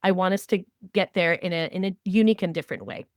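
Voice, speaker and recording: A woman's voice: on the low side, fast, no echo, clean studio sound. A woman co-hosting a podcast in a conversational style. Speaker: podcast co-host.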